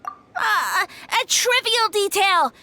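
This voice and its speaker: A pompous mushroom spirit holds court, played by a woman. The voice sits high and bright and leaps up and down with showy grandeur, dodging awkward questions in a video game scene. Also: very animated, takes it slowly, no room sound, heavily acted. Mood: huffy. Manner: grandiose, evasive, theatrical. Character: boastful male mushroom spirit, self-styled savior. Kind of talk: game voice acting.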